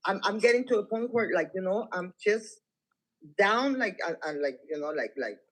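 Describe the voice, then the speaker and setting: High-voiced, hesitant man from Costa Rica. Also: lively intonation, moderate pace, a bit bright, rough recording. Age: 60s. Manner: repetitive, rambling, hesitant speech. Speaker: caller seeking financial help. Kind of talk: halting, informal personal account.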